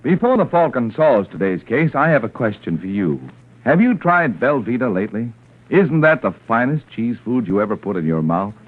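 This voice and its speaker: Very animated vintage radio announcer, male. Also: mid-range voice, moderate pace, a little hiss or hum. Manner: emphatic, salesmanlike. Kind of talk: 1940s radio announcing and sponsor pitch. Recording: tinny old radio recording, music behind.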